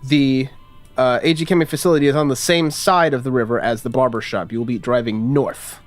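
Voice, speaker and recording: A man's voice: medium pitch, steady tone, a little fast, faint music behind. A man working as game master, giving a briefing in a tabletop role-playing game.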